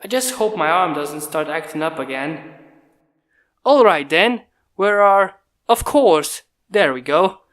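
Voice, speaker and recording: A terse inventor barks dry orders at his mechanical guards and mutters wry little asides under his breath, as a reader puts him on. He sounds brooding and self-possessed, quick to command and slow to warm. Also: medium pitch, expressive, roomy sound.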